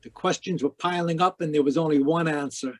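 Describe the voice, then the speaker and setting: A man playing a cynical private detective in 1940s radio noir style, wisecracking and deadpan as he talks and narrates. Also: on the high side, brisk, lightly acted. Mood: wry narration. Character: cynical hard-boiled male private detective.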